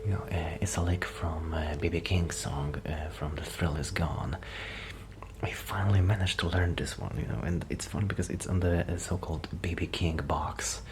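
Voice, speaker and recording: A man speaking softly in a breathy, near-whispered voice, rambling shyly and hesitantly in an ASMR roleplay. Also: extremely low pitch, moderate pace. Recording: noticeable background noise.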